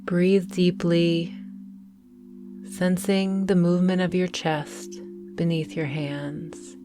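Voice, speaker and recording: Slow, calm woman guiding meditation. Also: lowish voice, over music. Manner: calm, soothing, measured with long pauses. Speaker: meditation guide. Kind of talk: guided mindfulness meditation narration.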